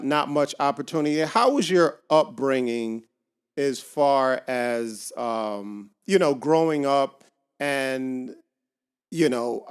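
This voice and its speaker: A man's voice: mid-range voice, clear, resonant tone. A man hosting a casual podcast interview over a video call, talking with lots of ums and restarts. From Miami. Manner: hesitant, many fillers and restarts. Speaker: podcast host. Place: home garage.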